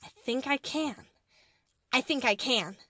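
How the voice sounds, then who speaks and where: Woman as a high-voiced kind little blue engine. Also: takes it slowly, dry recording, exaggerated voice. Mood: determined. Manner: gentle, earnest. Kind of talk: children's storybook dramatic reading.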